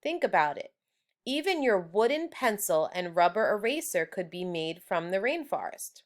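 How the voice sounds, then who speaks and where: A woman's voice: mid-range voice, sing-song, moderate pace, close to an everyday voice. A woman voicing an earnest adult male schoolteacher from a children's storybook, instructive and emphatic.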